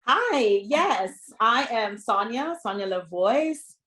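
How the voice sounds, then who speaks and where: A woman's voice: a little high, expressive, takes it slowly, pushed and bright, echoey room. A woman from Montserrat who sings and writes songs, introducing herself politely on a video call panel. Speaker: singer and songwriter guest.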